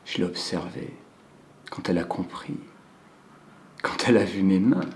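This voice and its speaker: A man performing a theatrical monologue as a cold, controlling psychopathic killer who savors his confession in a hushed, deliberate way. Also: low-pitched, slight background noise. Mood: savoring, sinister. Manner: slow, hushed, deliberate delivery with drawn-out pauses. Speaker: acting student performing a monologue.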